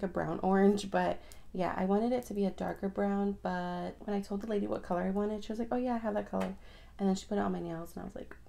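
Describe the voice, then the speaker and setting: A young woman chatting casually through a makeup routine, her voice raspy from just waking up, rambling with lots of fillers. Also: mid-range voice, warm tone. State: raspy morning voice. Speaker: beauty vlogger. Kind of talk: casual get-ready-with-me chat.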